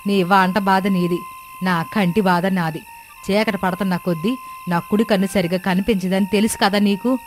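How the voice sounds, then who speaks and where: A woman's voice: mid-range voice, steady tone, normal speed, close to an everyday voice. Village mother-in-law for story cartoon woman actor: worried, grumbling, complaining, over background music. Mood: fretful complaining. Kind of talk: children's moral-story cartoon voice. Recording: music bed behind the voice.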